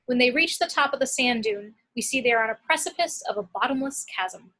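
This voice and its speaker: A woman's voice: a little high, lively intonation, a little fast, sharp tone. A woman narrating action descriptions from a script at an amateur table read.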